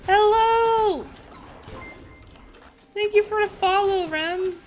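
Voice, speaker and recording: Slow-talking young woman with a nasal, fried voice. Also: extremely high pitch, a little hiss or hum. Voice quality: nasal with vocal fry. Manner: giggly, bursts into loud excited shrieks. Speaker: VTuber streamer. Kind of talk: casual gaming stream chat, reading chat aloud.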